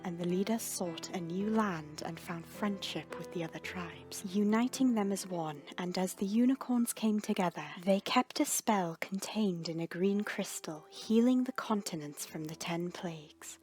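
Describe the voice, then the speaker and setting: A woman's voice: medium pitch, measured pace, a little mellow. Woman narrating a solemn fantasy tale over music. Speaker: narrator reading fan fiction. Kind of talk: scripted storybook narration. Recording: music under the voice. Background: background music.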